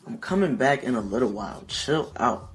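A man acts a controlling husband in an audio drama. His pitch swings widely as he gets short-tempered and dismissive. Faint music plays behind him. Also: lively intonation, moderate pace, lightly acted.

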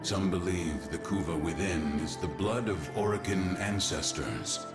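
A solemn game mentor with a very low voice. He speaks in measured, philosophical phrases, grave and cryptic. His words echo under loud music. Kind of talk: scripted video game acting. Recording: echoing, loud music behind.

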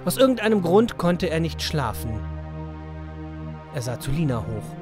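A man narrating a scripted story for children as its storyteller. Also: fairly high pitch. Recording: music under the voice. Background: music.

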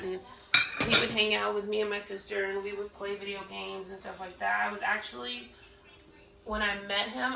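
A woman's voice: mid-range voice, vocal fry, background noise. A woman in her thirties chatting casually while she cooks at home, rambling with lots of fillers and restarts. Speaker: cooking vlogger. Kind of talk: casual storytelling while cooking. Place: home kitchen, indoors. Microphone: camera set across the room. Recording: roomy kitchen, distant camera, pan clatter.

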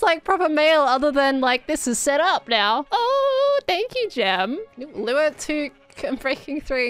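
A chatty Australian woman with a high voice that sounds a little hoarse and dry. She talks casually and brightly through a game, cooing over what she builds, with faint music underneath. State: slightly hoarse. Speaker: Minecraft YouTuber. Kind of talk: casual let's-play commentary.

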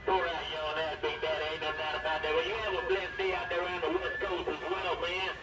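A man's voice: on the high side, little pitch movement, a little fast, far from the mic, over loud music. A man with an American accent chatting casually as a caller over a CB radio. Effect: radio transmission. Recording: muffled, echoey CB radio speaker from afar.

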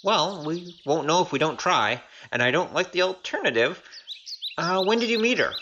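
An actor in an audio drama playing a quick-witted young swordsman, wry and coaxing. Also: mid-range voice, expressive, highs cut off, background noise, lightly acted. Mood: brisk, friendly.

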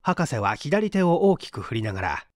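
A man's voice: medium pitch, big pitch swings, moderate pace, dry recording, studio quality. A man reading a mystery story as dramatic scripted narration. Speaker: audiobook reader, stage actor.

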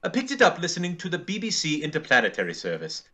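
A man's voice: fairly high pitch, huge pitch swings, fast talker, bright, forceful tone, exaggerated voice. A man playing an urbane, genial alien chief in a radio serial, speaking with genteel, wry courtesy. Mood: genially amused. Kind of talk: old-time radio serial acting.

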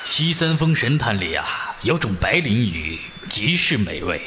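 A man playing an avuncular, teasing middle-aged martial-arts master in a Chinese audio drama, unhurried in his telling. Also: fairly low pitch, big pitch swings, very noisy. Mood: avuncular, instructive. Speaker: voice actor.

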